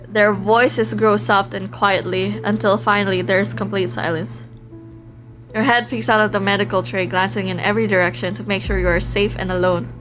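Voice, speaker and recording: A woman livestreaming a visual novel, reading aloud with expressive, giggly reactions and the odd stumble over words. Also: medium pitch. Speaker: live streamer playing and reading a visual novel. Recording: music playing behind the voice.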